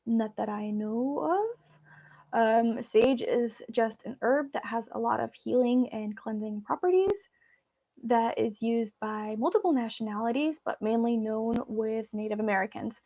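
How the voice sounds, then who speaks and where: A woman's voice: a little high, a little slow, dark tone. A woman from Belarus, a native Russian speaker, chatting casually and informally with viewers as she hosts a live Q&A. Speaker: vlog host.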